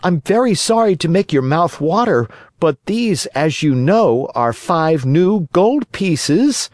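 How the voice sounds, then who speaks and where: Man playing a high-voiced, earnest puppet boy. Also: big pitch swings, slow, darkish tone, no room sound, clean studio sound. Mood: indignant. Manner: eager, guileless. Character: naive, earnest little wooden puppet boy.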